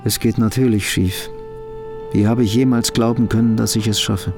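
Casting: male actor as haunted travelling fugitive, low creaky voice, inner monologue, music behind, radio play. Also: fairly even intonation, moderate pace, lightly acted. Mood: despondent. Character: nervous, despairing fugitive businessman muttering to himself.

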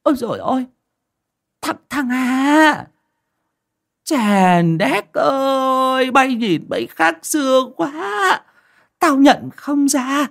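A woman's voice: high-pitched, lively intonation, vocal fry, exaggerated voice. A woman playing a folksy older village neighbor in an audiobook, exclamatory and drawn out. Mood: astonished. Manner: exclamatory, drawn-out, repeating words. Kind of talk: audiobook drama acting.

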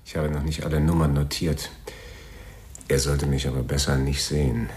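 Very deep-voiced laconic police sergeant. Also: male voice, level tone, moderate pace, a little mellow. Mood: dutiful. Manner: understated, dry. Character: laconic, dutiful male police sergeant, mildly sardonic. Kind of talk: German radio crime drama acting.

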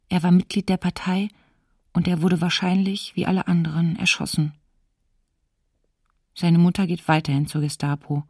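An actress playing a diarist under occupation, reading entries in a hushed, subdued way for a documentary radio drama, slightly off the mic. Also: female voice, lowish voice, slightly rough, no room sound, studio quality, distant mic. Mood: sober, bleak. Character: woman diarist soberly witnessing occupation terror.

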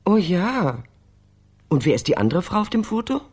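A woman's voice: fairly low pitch, sing-song, moderate pace, a little mellow, husky. An actress playing a guarded, hesitant female office secretary under questioning in a radio crime drama. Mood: uneasy, guarded.

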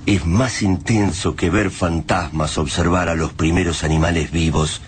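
A man's voice: deep voice, steady tone, normal speed, slight background noise. A man with an Argentine accent delivering scripted narration for a radio drama. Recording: music behind the voice.

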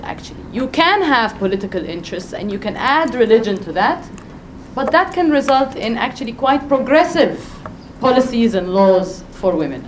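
A woman with a loud voice speaking on a conference panel, making an emphatic, persuasive case and addressing the audience directly. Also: a little high, slight background noise. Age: adult with a doctorate.

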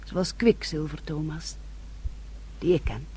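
A woman's voice: low voice, slow pace, warm tone, breathy edge, full character voice. A woman acting in a radio drama as a bossy, affectionate young sister who gives firm instructions. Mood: bossy, fond.

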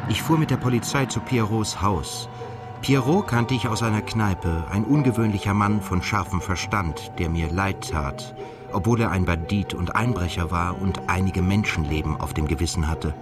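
An actor playing a melancholy journalist who narrates his own story in a measured, introspective way in a radio drama. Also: sits low, close to an everyday voice. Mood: somber, heavy. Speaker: narrator and protagonist. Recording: music under the voice. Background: music.

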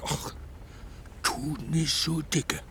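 Slow, gravelly, stubborn father. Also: a little high, steady tone, sharp tone, full character voice. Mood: stubborn, angry. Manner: grumbling, halting, gruff. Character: weary, proud, stubborn working-class father. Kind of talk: naturalistic radio drama acting.

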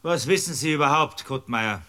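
A man's voice: on the high side, lively intonation, normal speed, bright, forceful tone. An actor in a radio play playing a stern, middle-aged district judge, brusque, formal and exasperated as he questions a witness.

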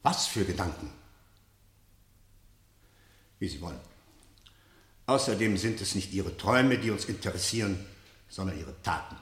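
A hard, authoritative male general in a German radio drama. His delivery is probing and sardonic, with lively, swooping intonation. The room around him echoes clearly. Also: low voice, unhurried, pauses often. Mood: probing, sceptical. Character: stern male military general conducting a harsh interrogation. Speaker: actor. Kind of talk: German radio play acting. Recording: roomy, echoing studio radio play.

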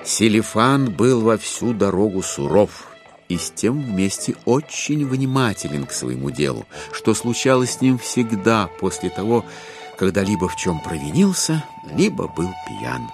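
A man narrating a dramatised literary audiobook in an expressive, measured storytelling manner. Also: lowish voice, music in the background.

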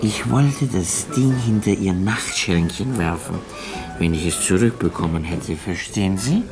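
Casting: washed-up former student for radio drama, bitter and rambling, deep-ish slow delivery over music. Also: male voice. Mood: bitter, self-pitying. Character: bitter, self-pitying failed medical student, down and out. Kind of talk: German radio play acting.

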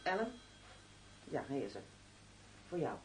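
An actress playing a loyal, anxious gangland accomplice in a radio play, with a husky voice. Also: female voice, extremely low pitch, big pitch swings, measured pace, noisy background, heavily acted. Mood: alarmed.

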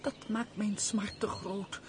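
Actress as penitent fallen noblewoman, unhurried tremulous delivery, theatrical radio drama, light background noise. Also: mid-range voice, steady tone, darkish tone, lightly acted. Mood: breathy anguish. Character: sorrowful, penitent, guilt-ridden fallen baroness. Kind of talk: theatrical Dutch radio play melodrama. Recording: noisy old radio play recording.

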